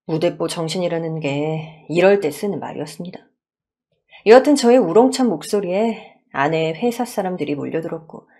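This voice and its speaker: A woman narrates a first-person story in radio drama style. Her voice has a husky edge and moves through a wide, animated pitch range.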